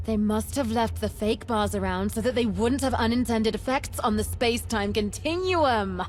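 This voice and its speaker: A woman playing an eccentric, excitable, boastful young treasure hunter in a video game, chatty and theatrical with dramatic emphasis. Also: fairly high pitch, moderate pace, a little hiss or hum, close to an everyday voice. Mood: conspiratorial excitement. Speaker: game companion character.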